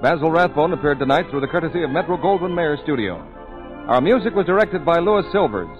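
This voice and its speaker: A man's voice: a little high, lively intonation, fast. A man announcing in a formal, scripted 1940s radio style. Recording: dull old radio broadcast, music, applause.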